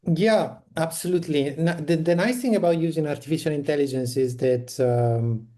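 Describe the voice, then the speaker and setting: Man explaining in a mellow voice. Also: on the high side, normal speed. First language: Italian. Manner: practical, explanatory, frequent restarts. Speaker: panelist on community toolkit. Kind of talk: online meeting talk.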